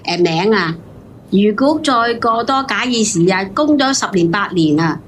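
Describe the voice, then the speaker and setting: A woman's voice: mid-range voice, expressive, a little slow, a little hiss or hum. A woman with a Hong Kong Cantonese accent lecturing emphatically as a call-in participant. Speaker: call-in participant giving advice. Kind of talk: unscripted call-in argument.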